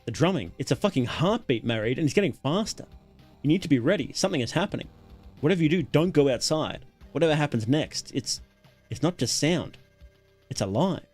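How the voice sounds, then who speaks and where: A man voicing an alarmed, urgent male agent with a sharp, agitated delivery in a dramatic horror story reading. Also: fairly high pitch, lively intonation, a little fast, soft background music. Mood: grave, urgent.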